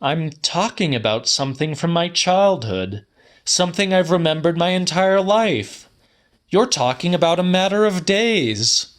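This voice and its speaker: A man's voice: high voice, sing-song, a little slow, voice pushed up. A man reading an audiobook, voicing an incredulous, defensive man who protests in an indignant, questioning way.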